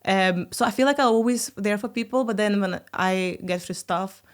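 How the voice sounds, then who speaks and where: Young lithuanian woman chatting on a podcast, lithuanian accent, exclaims a lot, mid pitch. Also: normal speed. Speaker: podcast host being interviewed. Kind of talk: casual chat.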